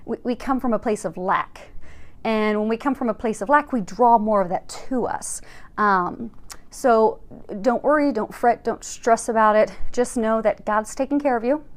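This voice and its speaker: This is a woman with a fairly high voice, hosting a spiritual tarot reading. She talks to her viewers casually and conversationally. Her manner is reassuring and encouraging throughout. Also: moderate pace. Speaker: tarot card reader and host.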